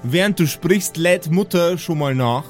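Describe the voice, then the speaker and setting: A man acting as game master, describing scenes off the cuff in a casual, halting way. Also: fairly high pitch, animated, soft background music.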